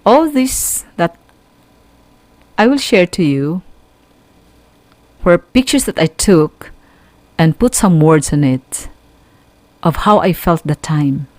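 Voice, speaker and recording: Woman, Filipino accent, radio school teacher, slow deliberate delivery, teacherly, dry close sound. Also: fairly low pitch, animated, no room sound. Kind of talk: instructional radio lecture.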